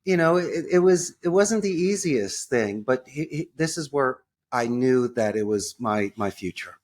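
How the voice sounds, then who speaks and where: An American man speaking as an interview guest, telling personal stories in an enthusiastic, expressive way with colorful phrasing. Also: mid-range voice, a little slow. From United States. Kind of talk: conversational personal storytelling.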